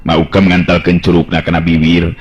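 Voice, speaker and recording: A man's voice: sits low, completely flat delivery, fairly quick, noisy background. A man with a Sundanese accent narrating a folk tale as a dramatic radio storyteller. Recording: dull lo-fi radio-style recording.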